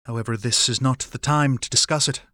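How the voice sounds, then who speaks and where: A man voicing a calm, protective, stately male partner in an audiobook, with formal, measured, archaic phrasing. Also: mid-range voice, dry recording, clean studio sound, natural voice. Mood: grave, commanding. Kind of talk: audiobook character dialogue.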